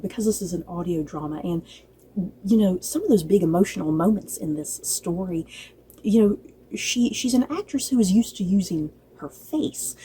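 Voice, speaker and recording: A woman's voice: fairly low pitch, warm tone, a little hiss or hum, rough recording. A woman giving a casual, conversational review to viewers, hesitant at times but emphatic about her opinions. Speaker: book and film reviewer.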